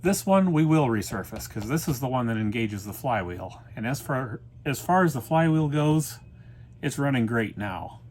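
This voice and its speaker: A man's voice: medium pitch, lively intonation, normal speed, darkish tone, slightly rough. A man giving casual repair commentary at the workbench, informal and thinking aloud as he works. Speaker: repair vlogger and host. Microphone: backup microphone, not the usual audio recorder.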